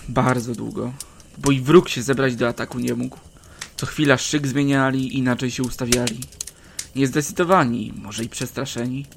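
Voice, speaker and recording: Old soldier yarn-spinner voiced by a man: measured, archaic diction, mid-range voice, faint hiss behind. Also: mid-range voice, gravelly, natural voice. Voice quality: measured, archaic storyteller's delivery. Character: aging male war veteran telling tales to travelers. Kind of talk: first-person storytelling in old-fashioned speech.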